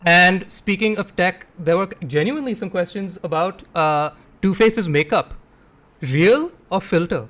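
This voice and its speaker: A man's voice: sits high, measured pace, a bit bright. A man with an Indian accent co-hosting a casual livestream chat in a joking, informal manner. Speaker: co-host of an online table read.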